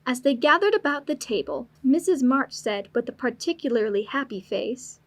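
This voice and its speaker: A woman's voice: sits high, moderate pace. A woman narrator reading a classic family story in a scripted storybook style.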